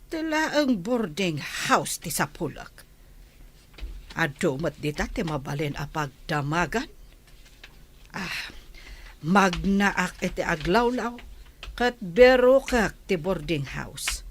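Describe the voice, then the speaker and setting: A woman's voice: lowish voice, expressive, slow, long pauses, a little hiss or hum. A woman acting a stern, combative middle-aged mother in a radio drama, with a scolding, commanding manner. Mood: purposeful, wary.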